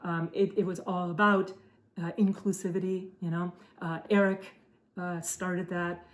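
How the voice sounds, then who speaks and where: A middle-aged woman whose first language is German, telling stories in a relaxed chat with many fillers and restarts. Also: fairly low pitch, unhurried. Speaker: podcast co-host. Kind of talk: casual conversational storytelling.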